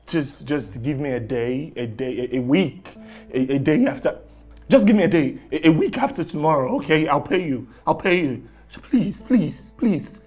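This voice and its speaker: A man playing a scammer who fakes an American voice on a call, begging and stammering. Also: sits high. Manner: pleading, stammering, hushed. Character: male scammer faking an American accent on a call.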